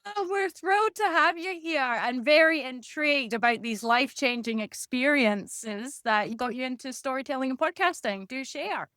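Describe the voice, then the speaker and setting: A woman's voice: high-pitched, lively intonation, moderate pace, hardly any pauses, fairly bright tone, no echo, studio quality. A woman hosting an interview podcast, introducing her guest and asking questions.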